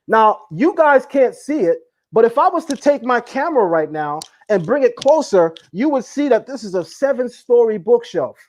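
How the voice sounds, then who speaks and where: A man hosting a heated live debate, emphatic and boastful, repeating himself as he shows his sources. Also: very high voice. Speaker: host.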